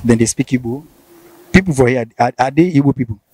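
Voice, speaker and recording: A man's voice: medium pitch, fast, a little mellow, breathy edge. A man with a Nigerian Pidgin accent interviewing someone, asking probing questions and repeating them. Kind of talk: casual street interview.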